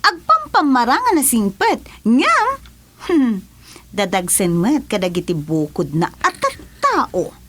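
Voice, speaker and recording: High, slow, disdainful woman. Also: huge pitch swings, a bit bright, slightly rough, full character voice. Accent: Filipino. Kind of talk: radio drama acting.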